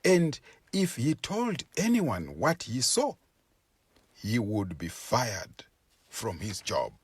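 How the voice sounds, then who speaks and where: A man reading an animal folk tale as scripted narration, in a measured, deliberate and expressive storytelling manner. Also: medium pitch, slightly rough.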